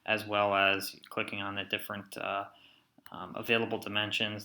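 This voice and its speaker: A man giving an informal, step-by-step software walkthrough as he narrates his screen in a casual, instructional way. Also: lowish voice, vocal fry. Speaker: software tutorial presenter. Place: voice-over for a screen recording.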